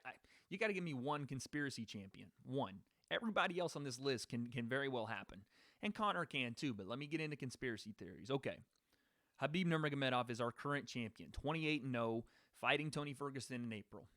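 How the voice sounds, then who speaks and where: A man's voice: mid-range voice, a bit bright, no echo. Casual man talking sports on a dry mic. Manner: casual, rambling, talks to live chat. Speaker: podcast host. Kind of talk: live-stream sports predictions.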